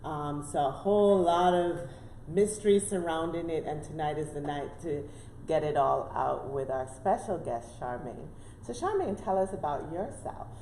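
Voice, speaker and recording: A woman hosting a live online talk show, welcoming viewers briskly and prompting her guest with short affirmations. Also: low voice, lively intonation, warm tone. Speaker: host. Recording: echoey room with faint noise.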